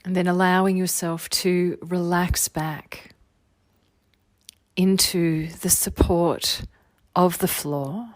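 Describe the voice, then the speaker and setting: A woman leading a guided meditation as a teacher, giving gentle, soothing body-scan instructions in an unhurried way. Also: on the low side, steady tone, no room sound. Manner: soothing, unhurried, gentle instruction with long phrases trailing softly.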